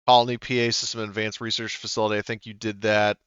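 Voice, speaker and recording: A man's voice: on the low side, little pitch movement, fast, a bit bright, smooth, no room sound, muffled recording. A man running a tabletop game session as game master, thinking aloud and stopping often to check the rules. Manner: hesitant, thinks aloud with many fillers.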